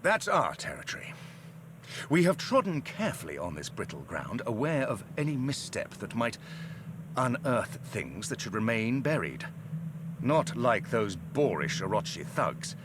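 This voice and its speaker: A man's voice: medium pitch, very animated, measured pace, background noise. A man playing an aging, erudite and pompous Oxford occult scholar in a video game, with a wordy, theatrical delivery. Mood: indignant. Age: older. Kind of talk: dramatic video game acting.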